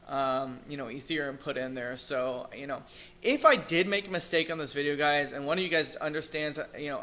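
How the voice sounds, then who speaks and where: Male crypto vlogger, hoarse and fading, bright voice, hesitant, thinks out loud. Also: a little high. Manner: hesitant, thinking aloud with fillers and restarts. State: losing his voice, clearing throat. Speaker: crypto video reviewer. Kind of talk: casual screen-share review.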